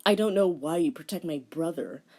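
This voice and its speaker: A woman's voice: deep voice, expressive, a little fast, a little mellow. Woman playing a bitter, menacing vampire lord. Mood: vengeful. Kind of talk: dramatic fan fiction reading.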